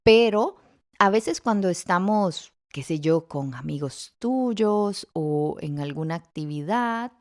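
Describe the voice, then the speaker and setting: A woman with a fairly low voice hosts a podcast interview. She asks her questions patiently and steps in with the missing word when the talk stalls. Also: animated, takes it slowly. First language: Spanish. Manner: gently prompting, suggesting words. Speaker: podcast host, mother.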